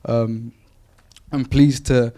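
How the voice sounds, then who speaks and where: A man introducing a guest speaker at an event, halting with many fillers. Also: medium pitch, little pitch movement, warm tone. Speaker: event host.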